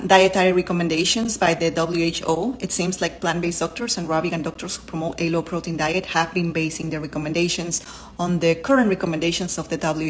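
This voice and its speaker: A Spanish-accented woman in her thirties speaks earnestly to the camera from her living room and explains things in a casual, direct way. Her voice sits a little low for a woman. A faint hum of the room is heard behind her. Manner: earnest, explanatory, lists terms one by one. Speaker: vlogger and doctor. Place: home living room, indoors.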